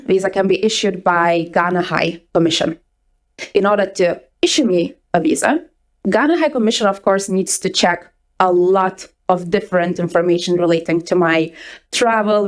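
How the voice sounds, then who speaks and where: A young woman from Lithuania with a Lithuanian accent, giving a lively conference talk with a personal story to a live audience. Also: mid-range voice. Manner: energetic, chatty, repeats words for emphasis. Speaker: conference speaker. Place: conference hall before an audience.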